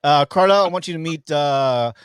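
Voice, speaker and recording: A man's voice: fairly high pitch, background noise. Chatty man in his forties. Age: 40s. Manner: rambling, halting, with frequent fillers and laughs. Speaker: host. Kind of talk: casual live-stream chat.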